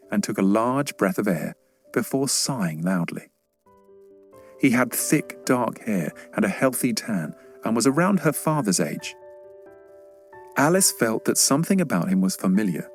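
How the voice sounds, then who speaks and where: Lower-voiced man narrating a children's story. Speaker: audiobook narrator.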